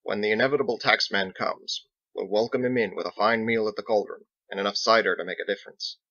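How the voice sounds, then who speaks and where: A man voicing a genteel, wry, philosophical sea captain who speaks formally and deliberately in a storybook reading. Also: on the low side, fairly even intonation, sharp tone, no room sound, lo-fi sound. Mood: pensive. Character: genteel, measured, pipe-smoking male sailor captain, wry and philosophical.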